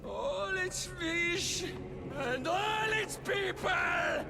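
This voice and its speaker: An actor playing a deranged man hiding in filth, with a gravelly, unhinged way of shouting in a game cutscene. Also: very high-pitched, expressive, drawn-out words, loud music behind the voice, full character voice. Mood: ranting. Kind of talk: video game cutscene acting.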